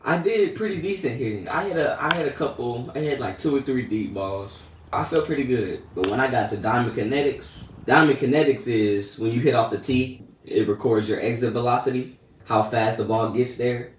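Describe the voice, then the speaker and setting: A teenage boy casually telling a story about his day in slangy, laid-back talk that often trails off soft and quiet. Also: mid-range voice, normal speed, far from the mic. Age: teens. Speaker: vlogger. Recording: echoey room, a bit off-mic, faint noise.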